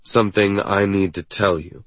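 A man voicing an intense, protective young male lover in an audiobook, tender but guarded, earnest and measured. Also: deep voice, steady tone, no room sound, lightly acted. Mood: guarded, distracted. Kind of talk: audiobook dialogue acting.

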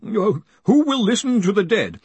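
Squeaky, quavering, nervous researcher. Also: male voice, big pitch swings, normal speed, darkish tone, husky, dry recording, exaggerated voice. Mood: nervous recital. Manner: stammering, apologetic, deferential. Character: nervous, squeaky, deferential lone male researcher, easily overwhelmed.

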